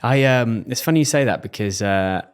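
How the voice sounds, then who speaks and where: A man's voice: mid-range voice, moderate pace, clear, resonant tone. A man hosting a podcast in a casual interview, conversational and agreeable, often repeating words and restarting sentences. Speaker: podcast host.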